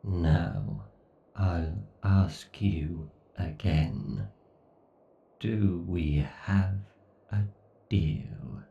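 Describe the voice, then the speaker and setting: A man playing an obsessed, sadistic, godlike AI that speaks with slow, theatrical menace in a horror ASMR roleplay. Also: low-pitched, creaky, mellow, echoey room, far from the mic, lo-fi sound, full character voice. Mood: coldly tempting. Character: obsessed, sadistic, godlike male AI tormenting its captive human.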